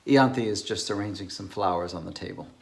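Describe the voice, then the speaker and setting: A man's voice: on the low side, brisk, vocal fry. A man playing a polite, slightly awkward scholarly bachelor in a dramatized novel reading. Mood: genial, polite. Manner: courteous, slightly awkward, hesitant. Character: polite, hesitant single man, a scholarly dinner host.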